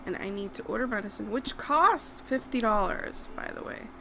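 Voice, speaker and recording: A woman's voice: medium pitch, lively intonation, moderate pace, creaky, bright, forceful tone. A young woman from Rico, chatting casually over gameplay and scolding the characters on screen. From Puerto Rico. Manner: chatty, talks to the game characters, bursts of outrage. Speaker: gaming YouTuber. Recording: noisy home recording.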